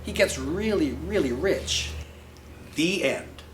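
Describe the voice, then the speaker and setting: A man's voice: a little high, lively intonation, slow, fairly bright tone, a little hiss or hum. A man reading a picture book aloud in character as a boy, with brash, slangy, emphatic delivery. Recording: roomy echo with faint music.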